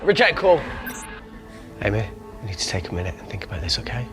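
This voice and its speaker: A man's voice: fairly low pitch, very animated, fast, music in the background. An actor playing a wry, guarded man in a crisis, dry and understated, in naturalistic film dialogue. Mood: tense, wry.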